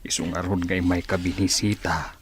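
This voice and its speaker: A man with a Filipino accent playing a frank, caring older family man in a radio drama, with a raspy voice. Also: sits low, level tone, moderate pace, slight background noise, natural voice. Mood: frank, reassuring.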